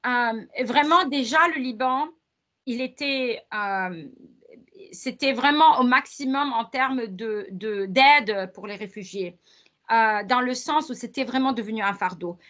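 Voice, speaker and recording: A woman's voice: fairly high pitch, measured pace, a bit bright. A middle-aged woman, a guest academic speaking earnestly in a conversational interview, with frequent fillers and restarts. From Lebanon.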